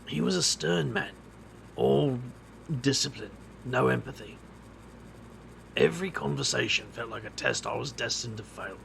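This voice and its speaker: A weary, brooding man played by a voice actor speaks in a very gravelly, creaky voice. His delivery is wry and bitter, as in a noir-like audio drama, with faint noise behind him. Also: mid-range voice, moderate pace, pauses often, fairly bright tone, low-quality recording. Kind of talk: audio drama narration and dialogue.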